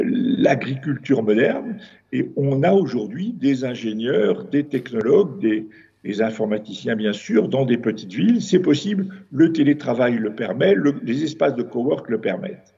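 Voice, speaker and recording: A man's voice: medium pitch, creaky, warm tone, slightly rough, dull audio. A man from France, a city councillor, earnestly explaining a report as a discussion guest. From France, Avignon. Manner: earnest, measured, with frequent restarts.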